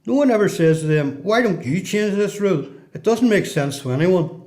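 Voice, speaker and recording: A guarded male prisoner who knows the system , played by a man in a radio play. His Belfast voice sits a little high for a man, and he confides in plain, measured speech. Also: animated, a little mellow. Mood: aggrieved, restrained. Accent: Belfast Northern Irish.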